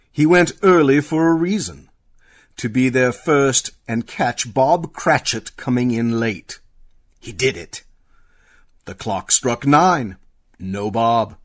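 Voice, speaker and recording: A man narrating a radio play in a dramatic storytelling style. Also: medium pitch.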